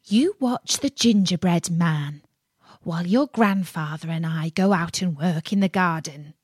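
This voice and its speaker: A woman's voice: on the low side, measured pace, breathy edge, dry recording. An old grandmother gives firm orders in a slightly raised voice, voiced by a woman reading a tale. The sound is dry and close.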